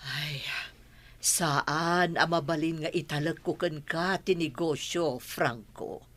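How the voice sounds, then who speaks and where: A woman's voice: low-pitched, takes it slowly, a bit bright, heavily acted. A woman playing a stern, exasperated mother of a grown son, scolding emphatically in an Ilocano radio drama.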